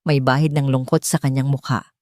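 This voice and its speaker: A woman's voice: rumbling low, little pitch movement, a little fast, darkish tone, no echo, clean studio sound. A woman storyteller reading scripted narration for a romantic drama audio story.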